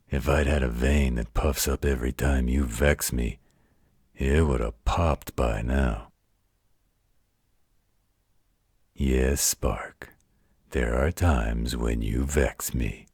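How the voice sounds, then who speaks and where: A gruff werewolf guardian, acted by a man, speaks in an extremely low, heavily rasping voice. He takes his time, coaxing like a patient but mock-stern parent at bedtime. The sound is dry and intimate, as in a roleplay audio. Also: a little mellow, dry recording, exaggerated voice. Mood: flustered, teasing. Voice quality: very deep, gravelly, husky. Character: gruff, protective werewolf guardian, fondly exasperated parental figure.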